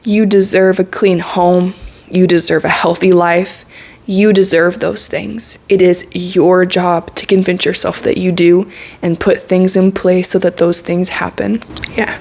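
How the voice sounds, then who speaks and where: A woman's voice: lowish voice, level tone, measured pace, gravelly, a little mellow. Candid young woman vlogging. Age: 20s. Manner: candid, earnest, slangy with frequent laughs. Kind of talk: casual reflective monologue to camera.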